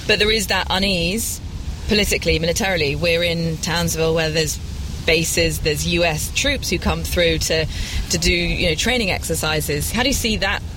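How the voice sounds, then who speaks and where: A woman's voice: on the low side, normal speed. Woman presenting a radio documentary, background noise. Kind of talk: documentary narration and interviewing.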